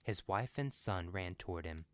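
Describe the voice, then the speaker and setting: A man reads a story aloud for a podcast. His voice sits on the low side and follows a scripted narration. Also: animated, moderate pace, fairly bright tone, no room sound.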